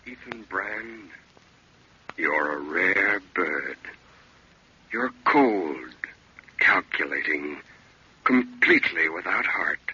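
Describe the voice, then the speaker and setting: An actor playing a man's sinister, calculating second soul in an old radio drama, slow, deliberate and insinuating. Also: low voice, animated, bright, forceful tone, slightly rough, heavily acted. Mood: sly tempting. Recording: dull, lo-fi old radio recording.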